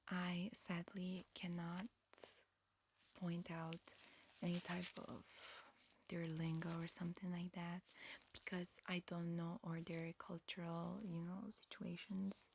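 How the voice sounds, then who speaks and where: A woman performing a whispered ASMR roleplay, mixing breathy whispers with soft speech in a halting, matter-of-fact, instructional manner. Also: fairly low pitch, slight background noise. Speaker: ASMR performer playing an alien examiner.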